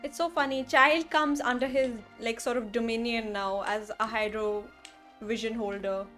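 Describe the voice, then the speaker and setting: Young woman streamer: high-pitched, gushing, slangy reaction commentary, faint game music behind. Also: moderate pace. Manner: excitable, gushing fan, slangy. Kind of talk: casual live reaction commentary.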